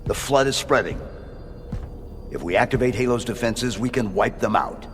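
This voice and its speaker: A man's voice: medium pitch, lively intonation, normal speed, big-room sound, soft background music, close to an everyday voice. A man playing a stoic, terse male super-soldier in a video game, with a commanding delivery. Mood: firm, urgent. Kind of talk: video game cutscene acting.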